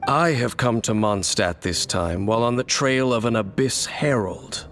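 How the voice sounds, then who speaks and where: A man playing a brooding, mysterious wanderer in a video game, speaking in a grave, measured, formal way. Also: mid-range voice, lightly acted. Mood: grave, determined. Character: brooding, mysterious male wanderer opposed to dark forces. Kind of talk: dramatic video game dialogue. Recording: game music behind.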